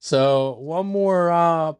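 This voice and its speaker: A man hosts a casual sports podcast. His voice is smooth and clear, and he talks in a loose, rambling way with plenty of restarts. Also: fairly high pitch, very slow. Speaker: podcast host.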